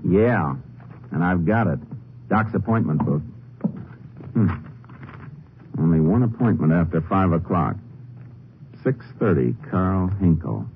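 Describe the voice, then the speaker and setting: An actor playing a calm, observant Texas Ranger who questions in a clipped, matter-of-fact way in a 1950s radio drama. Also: male voice, sits low, slow, long pauses, heavily acted. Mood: deducing. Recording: muffled, noisy old radio recording.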